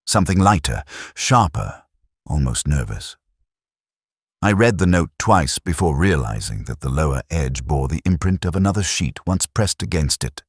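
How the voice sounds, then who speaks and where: Middle-aged man, extremely low pitch, husky edge, brooding detective-story narration, measured literary phrasing. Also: big pitch swings, no echo, studio quality. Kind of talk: scripted first-person detective story narration.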